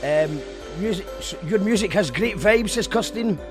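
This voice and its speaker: A man's voice: high voice, lively intonation, moderate pace. A man with a Scottish accent hosting an online live show in loose, casual chat that often restarts mid-sentence. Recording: background music playing under the voice.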